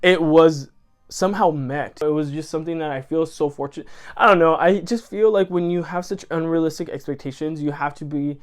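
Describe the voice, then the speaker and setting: This man talks straight to his viewers in a loose, effusive ramble, stopping often to correct himself. His voice is smooth and clear and sits slightly high for a man. His first language is Spanish. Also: brisk, soft background music. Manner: rambling, earnest and effusive, with many self-corrections. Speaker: channel host. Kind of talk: casual chat to camera.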